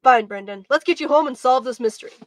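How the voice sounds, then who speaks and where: A woman's voice: high voice, quick pace, no echo, close to an everyday voice. A woman voicing a brusque but helpful robot detective in a children's storybook reading, with old-fashioned detective talk. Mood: businesslike.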